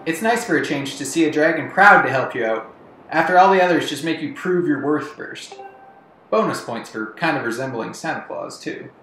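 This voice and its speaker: A man's voice: on the high side, animated, normal speed, sharp tone, a little hiss or hum. A man reading scripted countdown commentary as a video narrator. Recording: roomy echo, music bed. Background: background music.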